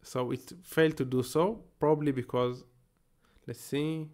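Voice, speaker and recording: A man's voice: medium pitch, steady tone, unhurried, a little mellow. A man in his thirties with an Israeli accent, a native Hebrew speaker, talking casually through a screen-share tech tutorial and thinking aloud as he works. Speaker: tech YouTuber.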